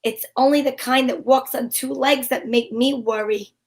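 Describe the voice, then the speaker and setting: A breezy female amateur sleuth acted by a woman in an old-time radio mystery. Her high voice is wry and sensible, with a take-charge manner. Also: steady tone, normal speed. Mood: wry, matter-of-fact. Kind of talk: old-time radio mystery acting with first-person narration.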